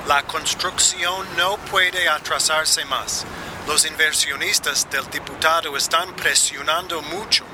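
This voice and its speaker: An actor playing an insistent, calculating go-between for corrupt interests in a radio drama. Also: male voice, a little high, fairly even intonation, slow. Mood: insistent, pressing. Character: pressuring male go-between for corrupt business interests. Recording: noisy outdoor ambience with cars.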